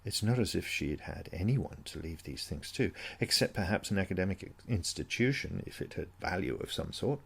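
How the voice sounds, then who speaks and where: A man with a gravelly, husky voice narrating an eerie first-person story in a brooding, measured, suspenseful way, like a dramatic audiobook. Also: sits low, lively intonation, a bit bright.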